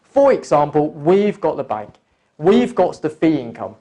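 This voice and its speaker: A man working as an online course tutor, explaining step by step in a deliberate, instructional lecture style and addressing the learner directly. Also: a little high, sing-song, mellow. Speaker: accounting exam course tutor. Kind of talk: explanatory lecture, talking through examples.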